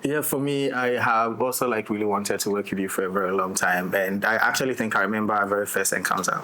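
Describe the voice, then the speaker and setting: A man's voice: on the low side, steady tone, quick pace, bright, forceful tone, low-quality recording. Man chatting, audible background noise. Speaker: director in a discussion. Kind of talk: casual chat.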